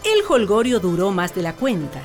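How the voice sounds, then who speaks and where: A woman's voice: mid-range voice, big pitch swings, moderate pace, faint music behind. A woman narrating a radio drama in a scripted storytelling style.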